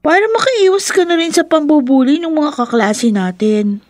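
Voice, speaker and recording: A woman's voice: high voice, expressive, moderate pace, a little mellow, no echo, clean studio sound. A woman playing a friendly, protective schoolgirl with a Filipino accent in a radio drama. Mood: caring, persuasive.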